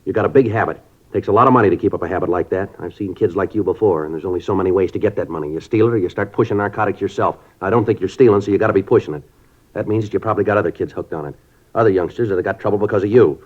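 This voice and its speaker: An actor playing a stern middle-aged police sergeant who narrates in a clipped, matter-of-fact way. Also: male voice, sits low, very fast. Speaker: detective narrator. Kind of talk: 1950s radio acting. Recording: dull old radio recording with music.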